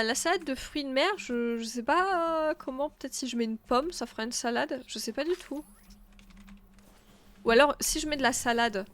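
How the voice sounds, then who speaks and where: A woman's voice: high-pitched, a bit bright. A woman with a stuffy nose chatting casually as she streams a game, thinking aloud and answering her chat. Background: faint game music.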